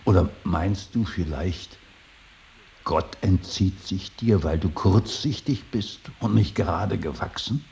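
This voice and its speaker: An actor playing a stern, solemn middle-aged father in a radio drama, insistent and halting in his speech. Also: low-pitched, lively intonation, vocal fry, heavily acted. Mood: grave, probing. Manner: solemn, insistent, halting, swinging from hushed to forceful. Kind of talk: 1980s German radio drama acting. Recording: faint tape hiss.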